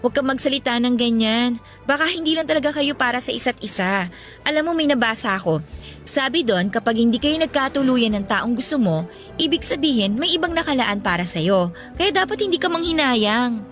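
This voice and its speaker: A sassy young female student in a radio romance. She has a high, bright voice and an expressive, feisty way of talking. Music runs clearly underneath her. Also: brisk. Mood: sincere, coy. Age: young adult. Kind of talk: Tagalog radio drama acting.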